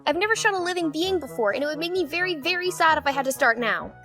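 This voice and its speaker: A high, girlish fan-dub voice, performed by a woman plays an earnest and suspicious teenager. She is bossy and lectures emphatically, with faint music in the background. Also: animated, quick pace, bright, forceful tone. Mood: stern, anxious.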